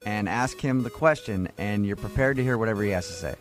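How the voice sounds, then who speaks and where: A man's voice: fairly low pitch, fast talker, soft background music. An American man hosting a radio phone-in segment with teasing, casual, probing banter. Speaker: radio show host.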